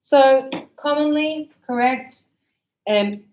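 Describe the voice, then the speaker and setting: A woman teaches English with a high, bright-sounding voice. She talks at an unhurried pace and spells each point out clearly. She acts out short example dialogues for her learners. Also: low-quality recording. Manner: slow, clear explaining, acting out sample dialogues.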